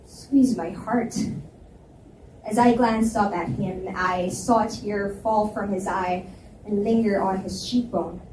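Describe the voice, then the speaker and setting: A woman's voice: mid-range voice, steady tone, slow, darkish tone. A woman narrating a first-person story aloud at a live indoor reading. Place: indoor reading event at a library. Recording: echoey room, distant mic, faint noise.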